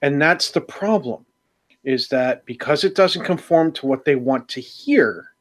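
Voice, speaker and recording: A man's voice: on the high side, animated, a little slow. A man co-hosting a casual podcast, ranting with sarcastic jokes. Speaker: podcast co-host. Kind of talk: casual round-table chat.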